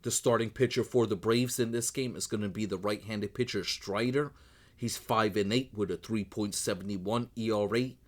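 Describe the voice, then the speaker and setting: A man who is losing his voice, running quickly through his sports betting picks as host, in a brisk set routine. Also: fairly low pitch. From Northeast United States. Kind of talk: rapid betting picks rundown.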